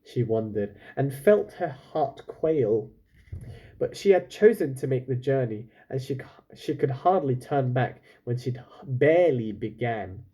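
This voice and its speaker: A man's voice: medium pitch, lively intonation, unhurried, warm tone, breathy edge. A man reading a children's book aloud expressively, stopping now and then for casual, chatty asides to his listeners. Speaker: storyteller, reader.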